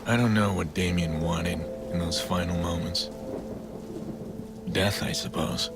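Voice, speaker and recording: A slow, brooding low-voiced vigilante. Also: male voice, steady tone, over loud music. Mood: grim reflection. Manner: grave, slow and deliberate. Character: brooding, weary, vengeful male vigilante hacker. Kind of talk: scripted dramatic narration.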